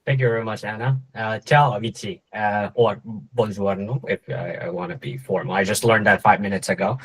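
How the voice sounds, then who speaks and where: A man's voice: fairly low pitch, fairly even intonation, brisk, breathy edge, poor audio. A young man from Vietnam in his twenties, giving a casual, joking presentation to students with many fillers and restarts. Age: 20s. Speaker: guest speaker, startup co-founder.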